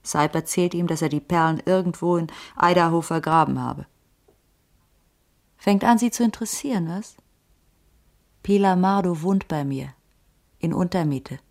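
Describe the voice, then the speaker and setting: Low, gravelly, world-weary streetwise woman played by an actress. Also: moderate pace, long pauses, lightly acted. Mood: insistent, knowing. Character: careworn, streetwise female ex-cop with a tip. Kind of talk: radio drama dialogue, hard-boiled crime.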